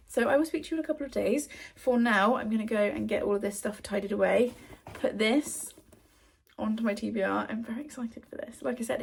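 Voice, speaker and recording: A woman's voice: medium pitch, creaky, slightly rough. A woman in her thirties, chatting casually in a home book vlog, a little breathless and wheezy from a cough. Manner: chatty, rambling, with frequent ums. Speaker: book vlogger.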